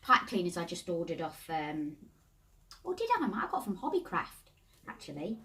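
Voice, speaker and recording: A woman's voice: fairly low pitch, sing-song, normal speed, a bit bright, recorded from a distance. A woman hosting a casual hands-on craft tutorial, chatty and talking to herself as she works. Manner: chatty, self-talking while working.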